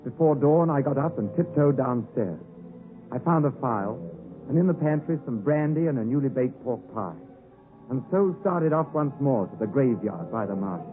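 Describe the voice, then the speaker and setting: An actor playing a literate gentleman recalling his boyhood, in dramatic first-person narration for 1950s radio drama. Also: medium pitch, lively intonation, moderate pace, lightly acted. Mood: suspenseful. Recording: tinny 1950s radio broadcast with music.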